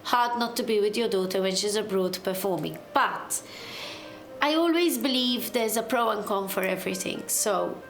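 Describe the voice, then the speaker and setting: A woman's voice: mid-range voice, normal speed, vocal fry. A woman event planner talking casually and directly as she explains her work. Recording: roomy sound with music behind.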